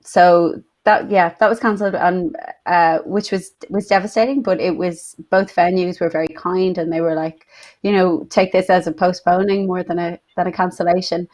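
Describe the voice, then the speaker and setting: A woman speaks casually as a playwright being interviewed over a video call. Her voice is slightly low in pitch. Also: little pitch movement, normal speed, a little mellow. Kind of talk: casual chat.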